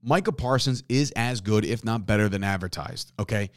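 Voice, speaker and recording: A man hosting a sports podcast, talking in a casual, animated way and often repeating himself and asking "right?". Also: lowish voice, moderate pace, smooth, no echo, clean studio sound. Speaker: podcast host.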